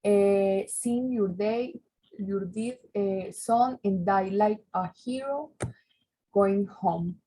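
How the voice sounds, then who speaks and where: A woman student reading a text aloud haltingly, stumbling and repeating words. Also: medium pitch, mellow, poor audio.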